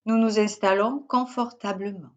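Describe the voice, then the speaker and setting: A woman guiding a yoga nidra relaxation as a teacher, in short, measured instructional phrases with pauses. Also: mid-range voice, fairly even intonation, highs cut off. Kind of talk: guided yoga nidra meditation.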